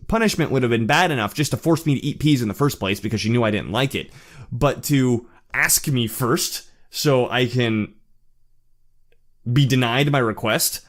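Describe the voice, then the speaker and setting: A man speaking in a casual personal monologue, candid and reflective, often stumbling and restarting his words. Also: medium pitch. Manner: halting, with frequent stammered restarts. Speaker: vlogger sharing personal experience.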